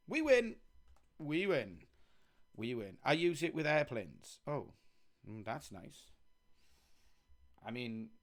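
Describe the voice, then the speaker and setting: A man with a Welsh accent live-streaming a coding game, chatting casually as he thinks aloud and reads on-screen text. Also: medium pitch.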